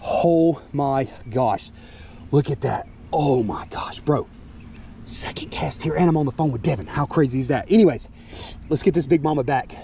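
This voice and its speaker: A young man vlogging while fishing outdoors by the water, excitable and quick to laugh. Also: mid-range voice, gravelly, a little hiss or hum. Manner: excitable, shouting and laughing at catches.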